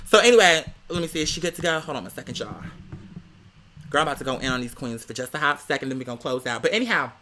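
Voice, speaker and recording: A man's voice: fairly high pitch, animated, racing, pushed and bright, slight background noise. An American man telling a casual story as a rant to viewers, with a sassy, theatrical, slang-heavy way of talking. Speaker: vlogger.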